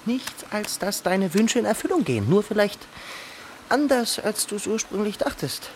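An actor plays a huge warty fish in a radio drama, striking sly bargains. The delivery is formal and wheedling, with very lively swings in pitch. Clear ambient noise sits behind the voice. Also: normal speed, close to an everyday voice. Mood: sly, bargaining. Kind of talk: radio play acting.

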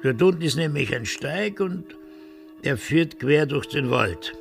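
An actor playing a cagey, melancholy village blacksmith in a radio drama, halting and evasive. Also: male voice, on the high side, lively intonation, gravelly, soft background music. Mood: confiding.

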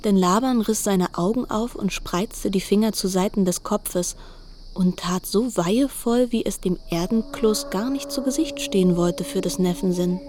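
A woman narrating a literary radio play calmly and descriptively. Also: medium pitch, normal speed, a little hiss or hum.

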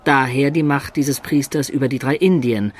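A man's voice: mid-range voice, steady tone, moderate pace, slight background noise, natural voice. An actor playing a young male adventurer who recounts his tale in a matter-of-fact way in a radio play. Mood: narrating. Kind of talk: German radio play narration and dialogue.

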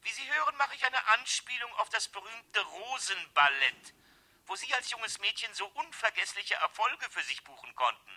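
An actor in a 1970s German radio drama playing a nervous, calculating embezzler and blackmailer in a theatrical manner. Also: male voice, high voice, sing-song, normal speed, sharp tone, no echo, heavily acted, rough recording. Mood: smooth, menacing. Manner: theatrical, muttering asides to himself. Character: scheming male embezzler, nervous, calculating, smoothly menacing blackmailer.

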